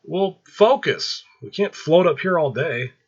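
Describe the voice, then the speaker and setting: A man's voice: a little high, expressive, a little slow, breathy edge, dull audio, voice pushed up, exaggerated voice. A man voicing a sassy, teasing young woman in a story, with playful exclamations. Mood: sarcastic.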